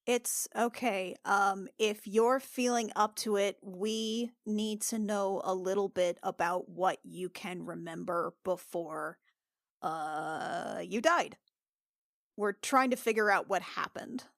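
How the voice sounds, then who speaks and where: A woman voicing a gentle, awkward female healer in a tabletop game, halting and kindly. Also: medium pitch, vocal fry, no echo, clean studio sound. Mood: nervous, kind. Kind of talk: improvised tabletop roleplay.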